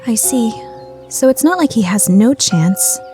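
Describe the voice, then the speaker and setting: A woman voicing a prim, calculating high school girl in an anime fan radio play, with polite, formal diction. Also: lively intonation, a little slow, dark tone, faint music behind.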